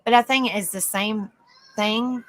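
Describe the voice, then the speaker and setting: A woman hosting a casual livestream, chatting softly with viewers by name and reading notes aloud. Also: medium pitch, fairly even intonation, measured pace, slight background noise. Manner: soft, easygoing, chats with viewers by name. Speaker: livestream host.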